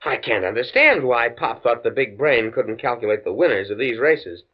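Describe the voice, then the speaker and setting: Earnest scholarly man, pedantic phrasing, lively swooping intonation, 1950s radio drama, dull vintage recording. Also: mid-range voice, normal speed. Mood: confident, eager. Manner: precise, stuffy, stammers when flustered. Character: earnest, pedantic, naive male university computer scientist.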